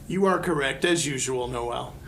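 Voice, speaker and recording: A man's voice: medium pitch, moderate pace, creaky, a bit bright. Dry male band member, faint noise. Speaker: musician guest. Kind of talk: casual banter. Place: radio studio.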